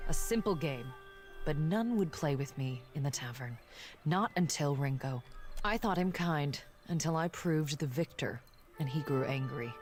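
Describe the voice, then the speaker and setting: A woman's voice: deep voice, close to an everyday voice. An actress playing a proud, bitter island woman warrior in a video game, with stern, formal speech.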